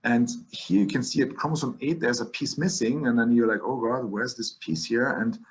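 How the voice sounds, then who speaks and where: German-accented male physician lecturing, mid-pitched, restarts a lot, slightly muffled lo-fi audio. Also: moderate pace. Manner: explanatory, frequent restarts and fillers. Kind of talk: medical lecture over slides.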